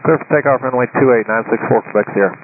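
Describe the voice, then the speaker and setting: A man's voice: medium pitch, racing. A man speaking as a pilot, giving clipped readbacks over a radio transmission. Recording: tinny radio with faint noise.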